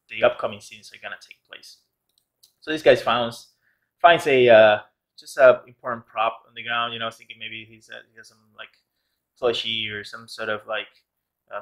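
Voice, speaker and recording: A man's voice: fairly low pitch, a bit bright, low-quality recording. A man casually narrating his art process as host of a tutorial channel, rambling with many fillers and restarts. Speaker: background artist and channel host.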